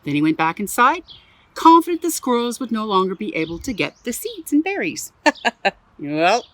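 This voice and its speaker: Woman, from Canada, museum storyteller, children's read-aloud, very animated pitch, playful asides, recorded in backyard, faint birdsong. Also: moderate pace, no room sound. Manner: expressive, playful, adds exclaimed asides. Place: own backyard.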